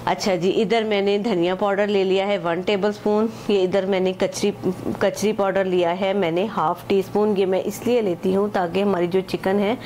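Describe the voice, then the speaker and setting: A woman talks through a recipe at a mid pitch. She speaks in a chatty, homely way and drops English measurements into her speech. A faint hum of room noise sits behind her. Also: steady tone, normal speed, darkish tone. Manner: chatty, repeats "acha ji" and "ye dekhen", mixes English measures into Hindi-Urdu. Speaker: home cooking channel host. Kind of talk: casual step-by-step cooking instruction.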